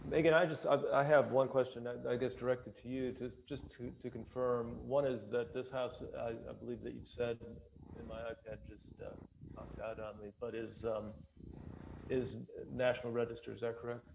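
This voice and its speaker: A man moderating a formal online public meeting as its chair, speaking in a hesitant, halting way. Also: medium pitch, level tone, gravelly, darkish tone, slight background noise, low-quality recording.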